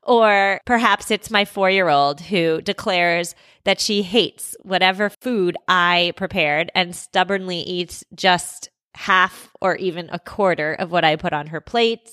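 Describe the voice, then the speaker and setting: Hoarse woman with a cold hosting a podcast. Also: fairly low pitch, a little slow, hardly any pauses, fairly bright tone, dry recording. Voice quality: scratchy, gruff. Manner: conversational, candid, lightly self-mocking. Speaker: podcast host. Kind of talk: scripted podcast monologue.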